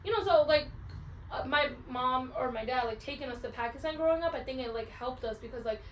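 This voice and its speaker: A woman's voice: high voice, fairly quick. Chatty woman, roomy distant sound. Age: 20s. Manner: frank, swears freely, heavy use of "like". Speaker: podcast co-host. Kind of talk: casual chat. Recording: roomy echo, mic at a distance, faint hiss.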